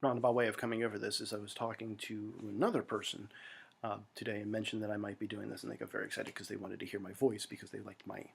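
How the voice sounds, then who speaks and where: A man's voice: on the low side, level tone, quick pace, gravelly, slight background noise. An American man talking to friends in a rambling, self-deprecating monologue full of fillers and restarts, recorded at home. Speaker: vlogger.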